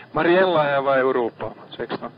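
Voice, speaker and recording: A man working as a ship's radio operator, calm and businesslike, repeating figures back in radio procedure. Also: mid-range voice. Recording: muffled archive marine radio.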